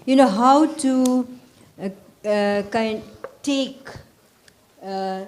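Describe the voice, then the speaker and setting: A woman with a Nepali accent moderating a panel discussion and briskly directing the speakers. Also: on the high side, a little mellow.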